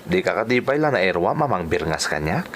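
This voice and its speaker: A flirty, low-voiced driver. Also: male voice, very animated, fairly quick, a bit bright, a little hiss or hum. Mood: flirtatious. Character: Lauro, flirtatious, persuasive male driver courting a coworker. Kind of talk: Ilocano radio drama acting.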